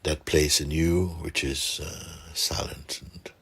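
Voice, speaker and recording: A man guiding a meditation for a group, speaking softly and calmly with gentle pauses and a husky, breathy voice. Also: a bass voice. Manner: unhurried, gentle pauses, calm instruction. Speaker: meditation guide. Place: room with a seated group, doors closed.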